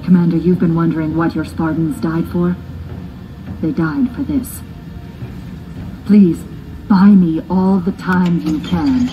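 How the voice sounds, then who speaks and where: An actress playing an imperious, coolly brilliant military scientist in a sci-fi game, with formal, precise diction. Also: female voice, on the low side, fairly even intonation, takes it slowly, long pauses, muffled recording, music in the background. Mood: grave, urgent. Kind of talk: cinematic sci-fi game acting. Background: loud dramatic score.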